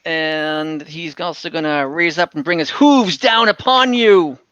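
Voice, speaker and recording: A game master narrating a tabletop role-playing game informally, thinking out loud while tallying dice over an online call. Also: medium pitch, measured pace, smooth, dry recording, highs cut off. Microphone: online group call.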